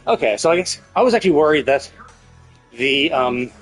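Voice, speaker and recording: A man's voice: on the high side, lively intonation, a little fast, slightly rough, rough recording. Man speculating aloud over game music. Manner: thinking aloud, repeats and rephrases himself. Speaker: live streamer. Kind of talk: casual game commentary, speculating on story. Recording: music playing behind the voice.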